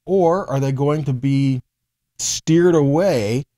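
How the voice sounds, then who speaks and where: A man's voice: medium pitch, takes it slowly, mellow. An American man in his forties giving a conversational Bible teaching, explaining step by step and checking in with short tags. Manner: explanatory, with frequent check-in tags like okay and right.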